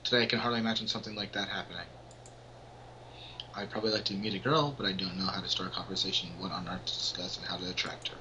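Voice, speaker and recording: Lower-voiced man on a noisy recording. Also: level tone, racing, lo-fi sound. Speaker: amateur let's-play co-host. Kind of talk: reading visual novel text aloud with casual reactions. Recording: noisy amateur home recording.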